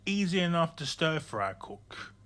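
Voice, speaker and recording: A man narrating a scripted audio drama in the second person, deliberately, in short phrases broken by pauses. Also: on the high side, very animated, a bit bright.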